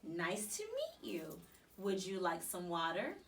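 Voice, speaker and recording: A woman's voice: on the low side, wildly swooping pitch, slow pace, a bit bright, distant mic, a little hiss or hum, full character voice. A woman voicing a kindly mother in a storybook, carefully practising simple polite phrases as a new learner. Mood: polite practice.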